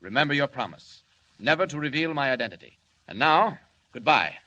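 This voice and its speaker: A noble superhero from an old 1940s radio serial. His voice is bright and forceful, swinging widely in pitch. He speaks formally and declaims with grave earnestness. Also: expressive, fairly quick, highs cut off. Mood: grave, earnest. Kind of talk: 1940s radio drama acting. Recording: vintage 1940s radio recording.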